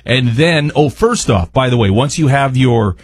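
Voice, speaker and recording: A man's voice: medium pitch, expressive, normal speed, husky, no echo. A man, a radio chef explaining a recipe in a folksy, informal, rambling way. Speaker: resident chef and radio host. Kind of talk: casual chat explaining a recipe.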